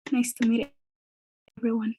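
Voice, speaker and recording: A woman's voice: on the high side, flat delivery, moderate pace, dark tone, a little hiss or hum. A woman from Kenya, introducing herself thoughtfully with hesitant fillers on a video call. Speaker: social entrepreneur.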